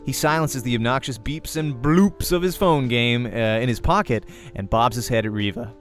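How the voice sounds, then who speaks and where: A man reading a visual novel aloud as a let's play host, in an expressive storytelling manner. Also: mid-range voice, moderate pace, faint music behind. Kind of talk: narrating a visual novel aloud, acting dialogue.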